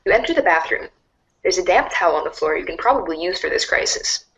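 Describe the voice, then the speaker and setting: A woman reading narration aloud in an animated storybook style for a reader's theater. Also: fairly low pitch, fast talker, rough recording.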